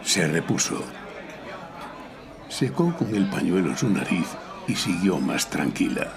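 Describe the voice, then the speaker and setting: A man's voice: low voice, sing-song, takes it slowly, crowd noise, exaggerated voice. A man playing a dry, observant lawyer-detective, narrating in a wry, clipped way for a live audio drama. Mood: wry, confidential. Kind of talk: hardboiled first-person audio drama narration. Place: recorded live before an audience in a library. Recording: live stage recording, audience noise.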